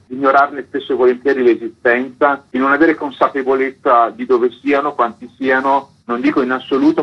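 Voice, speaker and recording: Husky man, muffled radio sound. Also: medium pitch. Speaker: theatre maker, interview guest. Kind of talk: explanatory interview talk.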